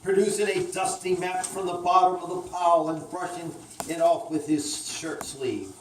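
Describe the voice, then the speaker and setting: A woman's voice: lowish voice, normal speed. A woman storyteller reading aloud a children's story. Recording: echoey room, distant mic, faint noise.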